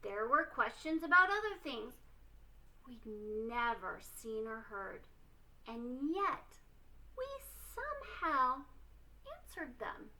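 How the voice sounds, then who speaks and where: A woman reads a children's story aloud with theatrical emphasis. Her voice is high and bright, and she takes her time over the phrases. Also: big pitch swings, takes it slowly, rough recording. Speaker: storytime reader. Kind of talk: expressive children's storybook reading.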